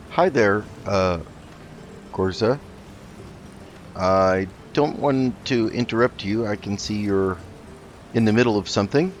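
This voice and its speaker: A man with a fairly deep voice hosts a roleplay let's play. He speaks in a measured, halting way, narrating as he goes, with faint music underneath. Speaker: gaming video host.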